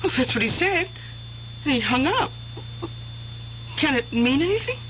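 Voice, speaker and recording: A bright, clear-cut young wife in a radio drama, affectionate and inquisitive. The recording is muffled and faintly hissy. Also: female voice, high voice, sing-song, a little slow, full character voice. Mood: curious, probing.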